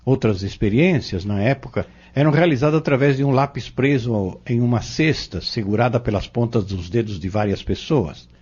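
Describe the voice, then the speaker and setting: Man acting a learned male mentor, Brazilian accent, husky, didactic, dull lo-fi audio novel recording. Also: mid-range voice, darkish tone, close to an everyday voice. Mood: earnest, explanatory. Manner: didactic, measured, formal. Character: learned, patient male spiritist mentor explaining doctrine.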